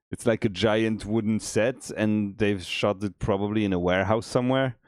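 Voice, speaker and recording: A man with a cold, his voice extra deep, chatting easygoing and rambling with many restarts while streaming game development. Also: fairly even intonation, unhurried, clean tone, no echo, clean studio sound. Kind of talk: casual chat on a dev stream.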